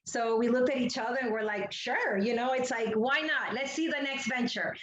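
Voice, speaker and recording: A woman's voice: a little high, normal speed, fairly bright tone. A middle-aged woman from Ecuador giving a chatty, rambling interview answer as a guest, telling personal stories and often saying "you know". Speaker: interview guest, entrepreneur and public speaker. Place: remote, on a video call. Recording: roomy, muffled video-call audio.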